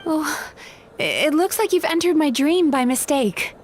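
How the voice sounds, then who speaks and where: A woman voicing a gentle young dream-eating spirit in a video game, who works as a dream therapist and talks in a soft, polite, soothing way. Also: high-pitched, lively intonation, a little slow, slight background noise. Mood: friendly.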